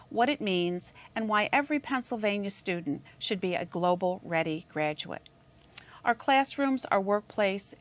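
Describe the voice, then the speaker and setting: Woman, talk show host, mid-pitched, upbeat, polished intros, polite questions. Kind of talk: public affairs talk show hosting.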